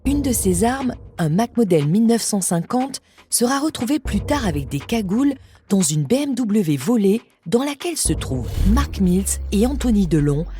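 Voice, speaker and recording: A woman's voice: medium pitch, sing-song, moderate pace, dark tone, slight background noise. A woman reading a scripted true-crime story as a podcast narrator. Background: music.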